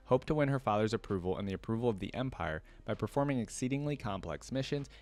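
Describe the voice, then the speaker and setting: A man hosting a reaction video in a casual, chatty way, reading aloud and adding his own musing asides. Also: fairly low pitch. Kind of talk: casual commentary, reading aloud from wiki trivia.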